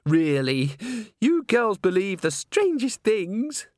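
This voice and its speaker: A man's voice: sits high, huge pitch swings, takes it slowly, darkish tone, dry recording, studio quality, pitched above the performer's own voice, exaggerated voice. A man voicing an airy, dismissive young woman in a storybook, with a breezy, condescending manner.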